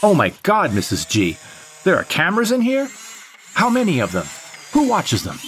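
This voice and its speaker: High-pitched rattled man, acted by the narrator. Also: wildly swooping pitch, moderate pace, fairly bright tone, a little hiss or hum, heavily acted. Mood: panicked. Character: rattled, anxious man questioning his lover. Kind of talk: scripted audio drama dialogue.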